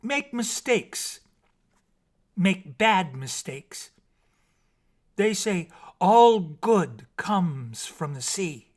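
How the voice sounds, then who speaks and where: A man voicing a young mermaid from a storybook, cheerful and impatient, puzzled by the land and speaking in halting, emphatic simple phrases. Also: high voice, sing-song, voice pushed up, heavily acted. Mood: triumphant.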